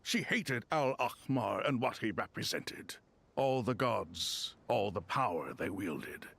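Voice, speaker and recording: A man playing a learned, reflective scholar in a video game, speaking in a measured, formal way. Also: on the low side, lively intonation, gravelly, a bit bright, husky, exaggerated voice. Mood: contemplative. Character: learned, reflective male scholar musing on ancient gods. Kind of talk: scripted video game dialogue.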